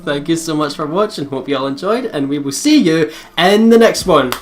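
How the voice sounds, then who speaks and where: Higher-pitched male let's play host. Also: animated, fairly quick. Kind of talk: casual chat over gameplay. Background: music.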